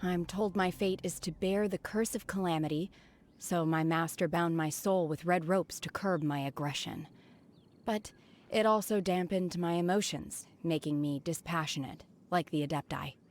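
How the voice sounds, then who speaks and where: A woman playing a solemn, detached young woman raised by immortals in a video game, measured and emotionless. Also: lowish voice, natural voice. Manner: dispassionate, measured.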